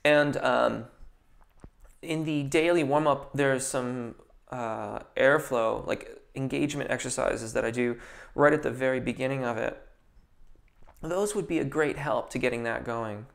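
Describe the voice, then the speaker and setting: A man who is a vocal coach, answering viewers' questions in a relaxed, chatty live stream with lots of ums and restarts. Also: mid-range voice, unhurried, creaky.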